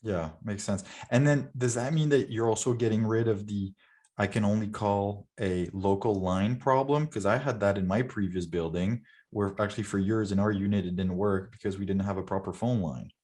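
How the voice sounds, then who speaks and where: A man's voice: fairly low pitch, moderate pace, darkish tone. A man hosting a podcast, asking questions in a casual conversational style. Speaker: podcast host, interviewer. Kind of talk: casual interview chat.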